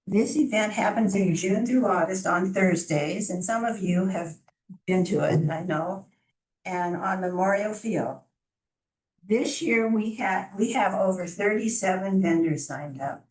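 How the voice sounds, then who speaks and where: A soft-voiced woman presenting to a city council as a chamber of commerce director, friendly and informal, with small restarts. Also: mid-range voice, a little slow. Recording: echoey council chamber from far off.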